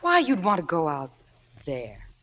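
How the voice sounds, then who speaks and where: A woman's voice: lowish voice, wildly swooping pitch, unhurried, a bit bright, muffled recording, full character voice. A woman playing a demure, earnest young admirer in old radio drama, polite and hesitant. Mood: timid, curious. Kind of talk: 1950s radio drama acting.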